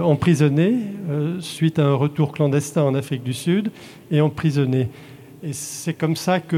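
A man from Belgium, a translator, answering audience questions in a halting way with frequent restarts. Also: fairly high pitch, warm tone. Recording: long hall reverb.

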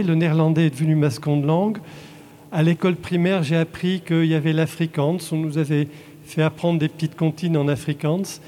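A man from Belgium, a translator, answering audience questions in a halting way with frequent restarts. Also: fairly high pitch, warm tone, a little hiss or hum. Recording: long hall reverb.

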